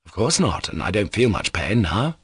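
A man reading an audiobook, voicing a gentle, guileless young man in a mild, sincere, plain-spoken way. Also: fairly low pitch, sing-song, normal speed, breathy edge, no echo, full character voice. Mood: polite, calm.

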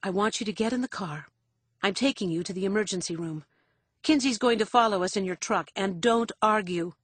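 A woman's voice: medium pitch, brisk, dry recording, lightly acted. A woman voicing a distressed, protective grown daughter in an audiobook, pleading and firm. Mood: firm concern. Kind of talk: audiobook character acting.

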